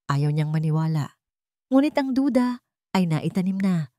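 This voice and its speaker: Low-voiced woman narrating a drama. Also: animated, warm tone, no echo, studio quality. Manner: measured, dramatic storytelling. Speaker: storyteller.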